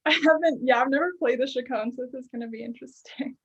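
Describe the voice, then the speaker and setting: A woman's voice: high-pitched, muffled recording. Giggly young woman on a video call. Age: 20s. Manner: chatty, giggly, quick to laugh. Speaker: guest guitarist. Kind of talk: casual chat.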